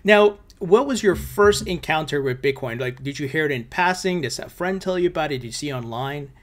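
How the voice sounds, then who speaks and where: A woman's voice: low voice, animated, fairly quick. A woman hosting an interview, asking short friendly questions and laughing along. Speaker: host.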